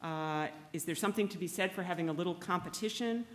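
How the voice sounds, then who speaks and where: A woman's voice: fairly low pitch, quick pace, slight background noise. Older woman moderating at a lectern. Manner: casual, questioning. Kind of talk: moderating a panel. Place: auditorium lectern. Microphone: lectern mic.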